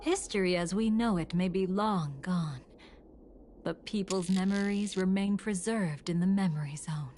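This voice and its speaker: A woman acting in a video game as a composed, knowledgeable keeper of memories, formal and deliberate. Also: lowish voice, a little mellow, a little hiss or hum, close to an everyday voice.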